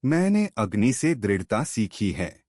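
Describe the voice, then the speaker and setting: A man's voice: fairly low pitch, very animated, measured pace, darkish tone, dry recording, clean studio sound. A man voicing a confident, resolute young warrior hero in a fantasy audio story. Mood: determined.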